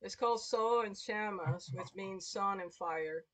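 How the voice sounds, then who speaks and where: A woman's voice: mid-range voice, a little slow. A woman hosting a live show in casual, explanatory conversation. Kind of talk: casual chat. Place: online livestream call.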